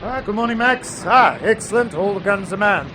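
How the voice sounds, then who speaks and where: An actor playing a commanding, gruff and defiant U-boat captain in a wartime radio drama, with clipped, emphatic military delivery. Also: male voice, high-pitched, lively intonation, normal speed. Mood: brisk. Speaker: submarine captain. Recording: radio drama with loud engine noise.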